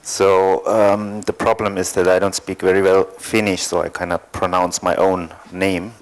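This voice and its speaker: A man from East Germany, speaking English, telling his story as a panel guest with many pauses and fillers. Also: sits low, level tone. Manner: hesitant, thoughtful, many fillers. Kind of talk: panel discussion, personal account.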